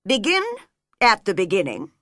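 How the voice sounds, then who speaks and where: A bossy storybook king, acted out by a woman, blustering and commanding. The voice is forceful and lively, hammering out each order with emphasis. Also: medium pitch, moderate pace, no echo, clean studio sound.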